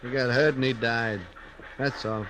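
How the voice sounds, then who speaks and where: A man's voice: medium pitch, steady tone, unhurried, background noise, natural voice. A man playing a callous, evasive hide boss. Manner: evasive, grudging, drawling western speech. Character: callous gruff buffalo-hide outfit boss. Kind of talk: 1950s radio drama acting.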